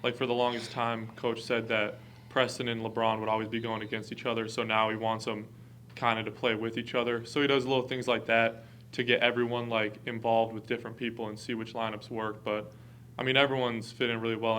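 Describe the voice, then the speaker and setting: A man's voice: mid-range voice, little pitch movement, fairly quick, a bit bright, slight background noise. A young man, a college basketball player, giving casual, plainly worded answers at a press conference. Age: early twenties.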